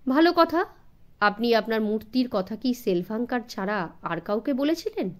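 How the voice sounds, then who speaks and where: Self-assured young detective man, curt and cool , read by a woman, audiobook mystery dialogue with lively intonation. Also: on the high side, moderate pace, natural voice. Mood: cool, probing.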